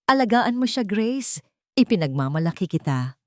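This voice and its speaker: A woman voicing a powerful, wealthy older grandfather who speaks softly, solemnly and apologetically in an audio drama. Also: medium pitch, big pitch swings, normal speed, no room sound, clean studio sound, pitched above the performer's own voice, lightly acted. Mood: proud, gentle. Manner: soft-spoken, solemn, apologetic. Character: remorseful, powerful wealthy grandfather, gentle and earnest.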